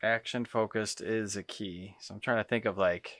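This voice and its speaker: A man's voice: lowish voice, fairly even intonation, normal speed, a bit bright, no echo. A man talking as a podcast co-host in casual, friendly guessing-game chat.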